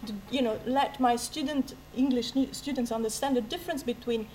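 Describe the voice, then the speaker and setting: A woman with an Italian accent answers a question at a live panel debate in a slightly high, lively voice. She talks with many restarts and pokes fun at herself. A faint room ambience sits behind her. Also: a little fast, slight background noise, low-quality recording. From Italy. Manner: lively, self-mocking, laughs often, many restarts. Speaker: university language teacher on a debate panel.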